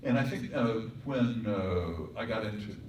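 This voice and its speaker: A man from Colorado with a very deep voice, a voice actor and composer telling wry stories on a stage panel. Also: lively intonation, unhurried, a little mellow. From Colorado, United States. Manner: storytelling, wry, reflective. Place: performing arts center stage. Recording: echoey hall, distant mic, faint hum.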